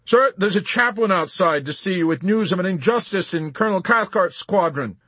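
A man's voice: sits high, animated, normal speed, sharp tone, slightly rough, no echo, pitched above the performer's own voice, exaggerated voice. Audiobook sergeant, played by a man: deferential, pleading, tense raised voice. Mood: urgent. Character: nervous, urgent male army sergeant reporting to superiors.

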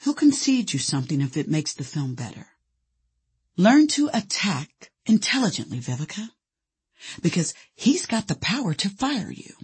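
A woman voicing a calm, wise female movie-star colleague in a memoir, hushed, breathy, gentle and meditative. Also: on the low side, moderate pace, full character voice. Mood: calm, soothing.

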